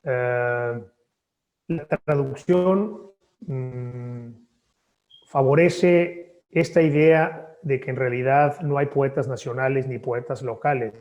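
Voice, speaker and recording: A man, a poet and native Spanish speaker, speaking thoughtfully at a book presentation with measured hesitations. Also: mid-range voice, darkish tone. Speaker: poet and author. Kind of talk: reflective talk at a book presentation.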